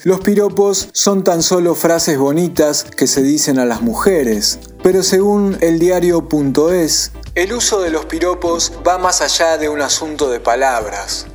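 A man narrating a radio documentary, reading a script in a measured way. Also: on the high side.

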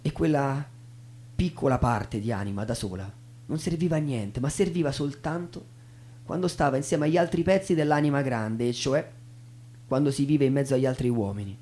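A man's voice: mid-range voice, moderate pace. A man narrating in a theatrical spoken storytelling style, as in a stage show. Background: soft guitar music.

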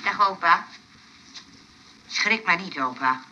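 A woman's voice: on the low side, animated, slow pace, pushed and bright, lo-fi sound, a little hiss or hum, exaggerated voice. An actress playing an indignant, exclamatory woman of the house in a Dutch radio comedy. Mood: soothing. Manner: exclamatory, bossy. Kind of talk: Dutch radio play acting.

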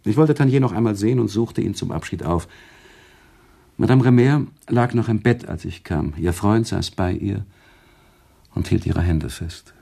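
A sober author telling his tale in a radio drama. His low, mellow voice is husky and creaky, delivered with measured restraint. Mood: sober, reflective. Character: reflective male author-narrator, a sober, sympathetic confidant. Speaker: narrator. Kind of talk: radio drama narration and dialogue.

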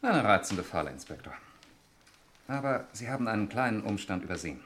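A deep-voiced, sardonic murder suspect. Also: male voice, very animated, brisk, fairly bright tone. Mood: sardonic, menacing. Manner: sardonic, defiant. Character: cornered male murder suspect, defiant and sardonic. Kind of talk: 1960s German radio crime drama acting. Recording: noisy old 1960s radio recording.

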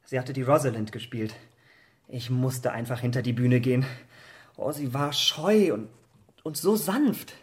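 An actor playing an impressionable, vain young gentleman in a German radio drama, speaking in an earnest, rapturous way. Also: medium pitch, a little slow, breathy edge. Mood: lovestruck, eager.

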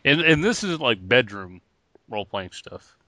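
Man, podcast co-host, crisp bright tone, laughing banter, dry close mic. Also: medium pitch, sing-song, normal speed, no echo. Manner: jokey, laughs often. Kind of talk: casual banter.

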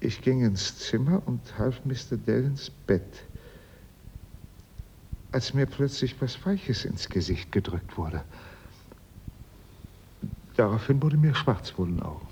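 An actor playing a shaken, deferential manservant with a halting way of talking in a radio crime drama. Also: male voice, medium pitch, expressive, a little mellow. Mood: dazed, uneasy. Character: subdued, shaken manservant questioned after being drugged. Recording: muffled, noisy radio drama recording.

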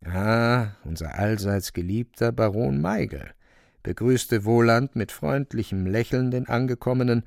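An actor narrating a dark fantastic radio play as a grave, watchful storyteller. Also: male voice, medium pitch, unhurried, gravelly, dry recording, studio quality. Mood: hushed suspense.

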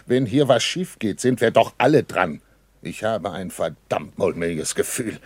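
Worried army captain, dry sound. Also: male voice, on the low side, lively intonation, a little slow, slightly rough, dry recording. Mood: gloomy, anxious. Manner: earnest, urgent, formal with superiors. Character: worried, dutiful German army captain under pressure, male. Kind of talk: 1960s German radio play acting. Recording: dry early 1960s radio studio.

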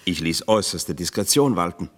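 Husky, pompous, sickly officer. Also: male voice, deep voice, lively intonation, brisk, fairly bright tone. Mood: plaintive, wistful. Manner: stilted, formal, flowery speech. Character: sickly, scheming retired officer, pompous and wheedling for money. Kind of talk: German radio play acting.

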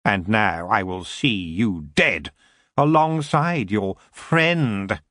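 A man plays a merciless elf lord with open contempt, each word drawn out slow and menacing. His voice swoops up and down as he sneers, and it sounds close and dry. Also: on the low side, lively intonation, fairly bright tone, no echo. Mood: taunting.

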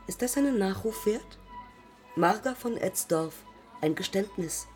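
A woman's voice: mid-range voice, lively intonation, unhurried, darkish tone, soft background music, lightly acted. An actress playing a proud yet self-doubting woman aviator in a poetic radio play, confessing in clipped, terse fragments. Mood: confessional. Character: heroic, self-doubting young woman aviator who took her own life.

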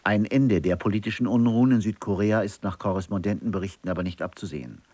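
A man with a standard German accent reads a formal scripted radio news bulletin in a measured, factual, carefully enunciated way. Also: lowish voice, mellow, no room sound. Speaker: radio newsreader. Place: radio studio.

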